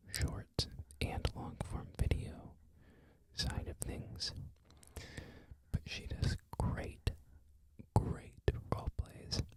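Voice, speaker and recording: An ASMR creator whispering a loose, rambling talk, full of "like" and "um" fillers. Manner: soft whisper throughout, frequent "like" and "um" fillers.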